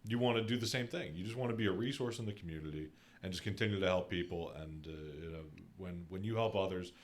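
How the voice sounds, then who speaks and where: Low-voiced American male podcast host. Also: fast talker. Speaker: podcast host, real estate agent. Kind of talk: casual interview hosting.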